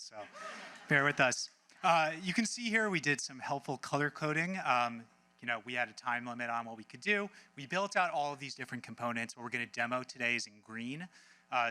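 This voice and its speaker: Guy doing a live hackathon demo, really bright punchy voice, breezy and informal, bit wry. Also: medium pitch. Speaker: hackathon team member presenting a demo. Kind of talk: live product demo presentation, casual.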